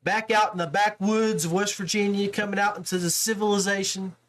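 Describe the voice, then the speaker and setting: A man's voice: high voice, fairly even intonation, a little fast, a bit bright. A man hosting a casual sports podcast interview, talking in a chummy, familiar way with guest and listeners.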